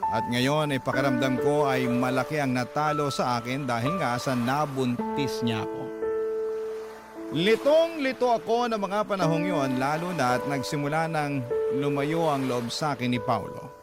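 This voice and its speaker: A male radio storyteller with a medium-pitched voice reads a scripted first-person story. Prominent music plays behind his narration. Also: lively intonation, normal speed. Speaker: radio storyteller reading a listener's letter.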